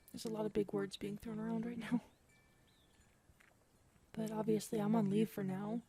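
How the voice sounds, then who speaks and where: A woman acting in an ASMR audio roleplay as a gentle, shaken specialist who confides haltingly and softly. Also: mid-range voice, gravelly, a little hiss or hum, lightly acted. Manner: soft-spoken, hesitant, halting.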